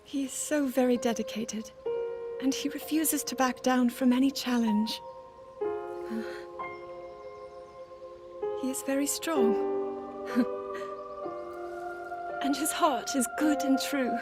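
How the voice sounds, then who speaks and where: An actress playing an earnest, devoted young princess in a video game cutscene, with careful, formal phrasing. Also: female voice, sits high, fairly even intonation, takes it slowly, pauses often. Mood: earnest, admiring. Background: music.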